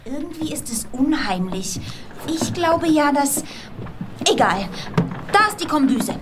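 A high, hesitant young woman, played by an actress speaks uneasily in acted dialogue. The played-back clip has clear noise behind it. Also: lively intonation, measured pace. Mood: uneasy, hesitant.

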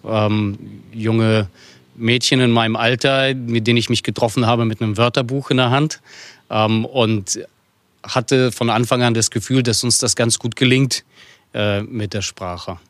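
A man's voice: medium pitch, steady tone, unhurried, no echo. A middle-aged man giving fluent, personal answers as an interview guest.

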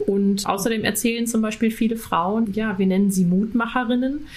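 A woman guest in an interview, giving informative, steady explanations step by step. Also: fairly high pitch, a little fast. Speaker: guest expert.

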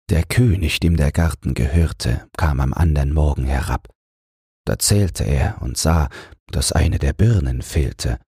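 Very breathy, deep-voiced male storyteller. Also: no room sound, clean studio sound. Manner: calm, soothing storytelling. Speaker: audiobook narrator. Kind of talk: scripted fairy-tale narration for falling asleep.

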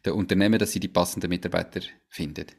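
A man's voice: sits low, fairly even intonation, very fast, vocal fry, a little mellow, breathy edge. A man hosting a podcast, asking curious follow-up questions. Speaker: podcast host. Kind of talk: casual interview.

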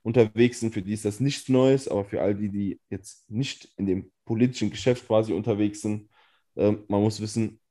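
A man's voice: fairly low pitch, fairly even intonation, normal speed, dark tone. A man, a state parliament member and committee chair, earnestly explaining his work step by step in a casual podcast, recorded remotely. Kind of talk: casual political talk.

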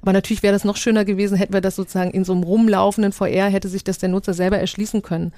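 A woman talks quickly in a mellow voice. She explains things off the cuff in a discussion, reflective and fond of rhetorical questions. The sound is close and dry. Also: medium pitch, flat delivery, dry recording. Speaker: guest, immersive journalism project maker. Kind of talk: panel-style discussion, spontaneous explaining.

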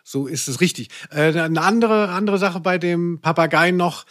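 A male podcast co-host chatting casually and humorously, with halting phrasing and wry asides. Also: high-pitched, a bit bright, dry recording, studio quality.

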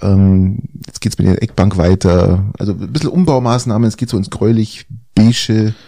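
Middle-aged podcast co-host, stuffed up with a cold, gravelly and dry. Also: male voice, fairly low pitch, fairly even intonation, moderate pace, dark tone, dry recording. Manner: rambling, hesitant with many fillers. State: congested with a cold. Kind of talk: casual chat.

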